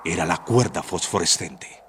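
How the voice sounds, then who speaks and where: Male narrator: deep, solemn, theatrical radio suspense storytelling, slight background noise. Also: moderate pace, a bit bright. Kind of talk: dramatic scripted radio narration.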